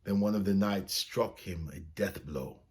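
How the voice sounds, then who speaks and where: A man reading a children's story aloud as a storyteller, with expressive, dramatic phrasing. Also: deep voice, a little slow, slightly rough.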